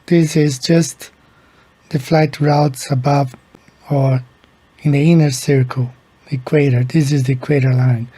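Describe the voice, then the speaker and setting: Slow, husky-voiced Brazilian-born man. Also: a little high, dark tone, no room sound. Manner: slow, halting, repeats words. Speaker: video creator. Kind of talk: casual explainer monologue.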